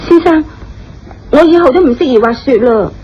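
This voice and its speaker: A woman's voice: high-pitched, animated, moderate pace, slight background noise. An actress playing a polite, earnest, easily frightened young female star in a radio drama. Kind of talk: Cantonese radio drama acting. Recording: dull lo-fi audio with background music.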